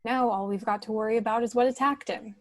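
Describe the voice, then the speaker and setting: A woman's voice: on the high side, steady tone, fast talker, no echo, natural voice. A woman playing a concerned, commanding young rebel leader in an amateur table read.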